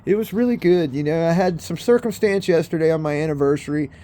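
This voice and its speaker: A man's voice: a little high, fast, mellow, a little hiss or hum. A man in his fifties rambling in a casual monologue, swearing often and calling the viewers bro and people. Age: 50s. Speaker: vlogger. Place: in a car.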